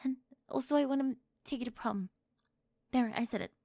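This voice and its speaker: A woman acts out a popular high school bully girl with a secret crush. Her voice is clearly high and recorded very dry. She is flustered and dramatic, getting defensive and tripping over her words in an audio roleplay. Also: a little fast, dark tone, no room sound. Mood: flustered confession. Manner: melodramatic, defensive, flustered stammering. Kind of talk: ASMR audio roleplay acting.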